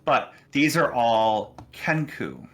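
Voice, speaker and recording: A man acting as game master, narrating casually and haltingly with frequent pauses. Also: medium pitch, big pitch swings, over music. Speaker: game master of a tabletop role-playing game.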